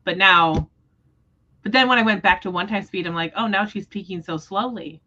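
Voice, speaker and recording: A woman's voice: medium pitch, normal speed, sharp tone, dull audio, slight background noise. A woman hosting a book readalong livestream, talking casually about books. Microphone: livestream microphone.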